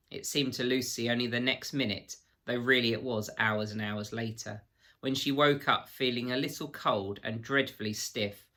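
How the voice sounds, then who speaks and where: A man narrating a children's storybook in an expressive, measured storytelling manner, like a teacher reading to pupils. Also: medium pitch.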